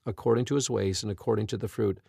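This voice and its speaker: A man hosting a devotional talk, retelling stories and quoting scripture in an earnest, emphatic, deliberate way. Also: on the low side, fairly even intonation, gravelly, warm tone, slightly rough, dry recording, clean studio sound. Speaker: podcast host and Bible teacher.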